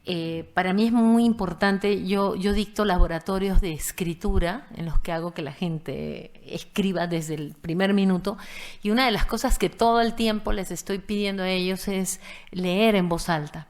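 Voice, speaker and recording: A woman's voice: mid-range voice, moderate pace, a little mellow. A middle-aged woman from Venezuela with a mixed, unplaceable accent, talking thoughtfully about her writing on a panel, with many fillers and restarts. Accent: none fixed, mixed Venezuelan and Peruvian. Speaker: guest writer.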